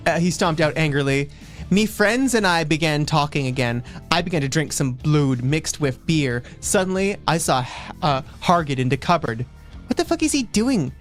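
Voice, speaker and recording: A woman's voice: low-pitched, animated, moderate pace, faint music behind. A woman co-hosting a livestream, giving a mock-dramatic reading of fan fiction, theatrical and stumbling over words, with asides thrown in. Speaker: livestream co-host.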